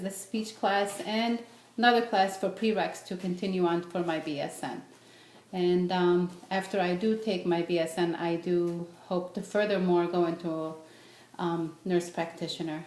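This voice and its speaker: A middle-aged woman giving an informal, halting self-introduction speech, often pausing to think. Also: on the low side, a little mellow. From born in Beirut, raised in the United States. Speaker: student speaker, registered nurse. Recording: echoey room with background noise.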